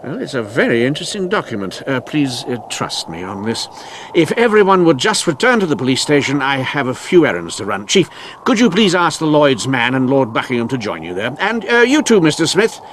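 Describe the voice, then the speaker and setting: A man's voice: mid-range voice, sing-song, brisk, a bit bright, a little hiss or hum. A man playing a shrewd, formally courteous police inspector in an old-time radio mystery, with a hesitant, old-fashioned way of talking. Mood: assured, explanatory. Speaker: actor.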